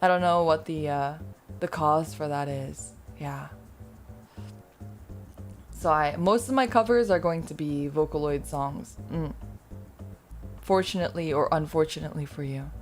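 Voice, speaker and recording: A woman with a slightly low voice chats with her stream viewers in a loose, rambling way. She pokes fun at herself and laughs easily, and soft music plays quietly under her talk. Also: a little slow, long pauses. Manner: rambling, self-deprecating, laughs often. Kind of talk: casual chat with viewers.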